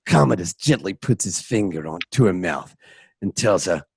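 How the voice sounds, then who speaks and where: A man reads scripted narration for an amateur radio drama. His voice is lowish and husky, in a dry recording with little room sound. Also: animated, moderate pace, no room sound.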